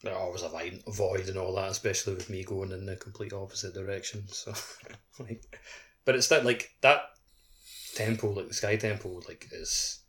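Very low-pitched man in casual chat. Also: fairly even intonation, normal speed, a bit bright. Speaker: podcast co-host.

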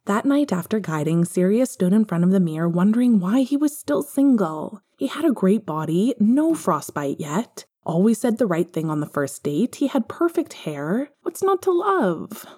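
A woman's voice: mid-range voice, very animated, moderate pace, hardly any pauses, dark tone, breathy edge. A woman reading a story aloud in a wry, conversational narrating style. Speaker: podfic reader. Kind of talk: expressive scripted fiction narration.

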